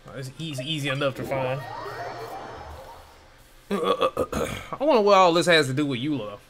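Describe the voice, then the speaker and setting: Animated, slangy male game streamer. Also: on the high side, moderate pace, vocal fry, a little hiss or hum. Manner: slangy, profane, reacts to the characters. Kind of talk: casual gameplay commentary. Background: game music.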